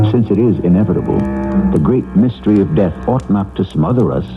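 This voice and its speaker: A man hosting a radio drama with measured, solemn, formal scripted narration. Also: sits low, vocal fry. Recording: narrow vintage radio broadcast over music.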